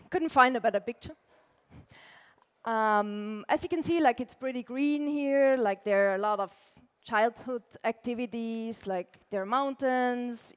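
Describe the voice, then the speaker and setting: Chatty Austrian woman with a bright voice. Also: on the high side, long reverb tail. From Austria (Tyrol). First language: German. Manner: casual, chatty, many "like" fillers, laughs lightly. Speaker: conference speaker, illustrator. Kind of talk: conference talk. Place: conference stage.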